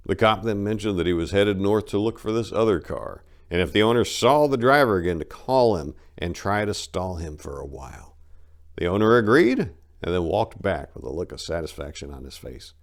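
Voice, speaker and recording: A man reading a first-person story as dramatic audiobook narration, in a folksy, colloquial storytelling manner. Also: deep voice.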